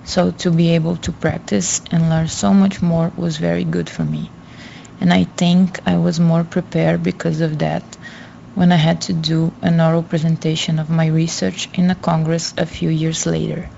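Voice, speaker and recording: Gravelly young woman, dull audio. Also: deep voice, level tone, moderate pace, warm tone. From Brazil. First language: Portuguese. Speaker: guest student. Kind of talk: answering interview questions. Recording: dull lo-fi audio, faint noise.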